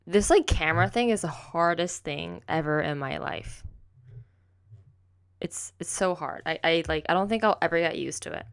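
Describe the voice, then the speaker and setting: A woman's voice: lowish voice, animated, normal speed, clean tone. A young woman, hosting a casual solo podcast at home on a close desk mic, rambling and correcting herself, saying "like" constantly. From raised in the United States. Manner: chatty, rambling, heavy use of "like", self-correcting. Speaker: podcast host. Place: home room with kitchen behind, indoors. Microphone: silver desk condenser mic, close.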